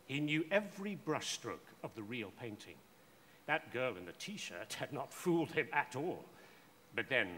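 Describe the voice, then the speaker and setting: A man narrating a scripted short story in a measured, theatrical way. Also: medium pitch, a bit bright. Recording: echoey hall with faint noise.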